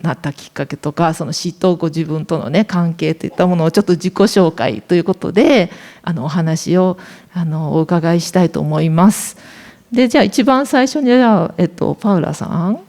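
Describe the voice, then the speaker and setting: Fast, halting woman panel moderator. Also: fairly low pitch, warm tone. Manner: fast, hesitant, many fillers. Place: festival panel on stage.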